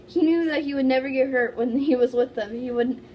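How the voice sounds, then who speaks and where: A woman's voice: sits high, brisk, warm tone. A woman doing a playful dramatic amateur reading, mixed with casual chat. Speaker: fan fiction reader. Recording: faintly noisy home recording.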